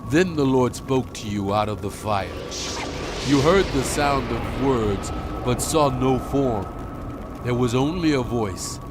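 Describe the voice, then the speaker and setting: A man playing a solemn, stern prophet and leader exhorting his people in a measured, dramatized scripture reading. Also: mid-range voice, animated, music in the background. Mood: solemn, reverent. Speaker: Bible narrator.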